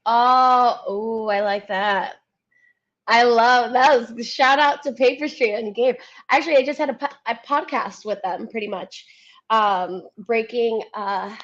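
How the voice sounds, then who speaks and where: A woman's voice: on the high side, highs cut off. A woman in her twenties, a Spanish native speaker, chatting as a podcast guest in a bubbly way, laughing and searching for words. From United States. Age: 20s. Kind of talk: casual chat.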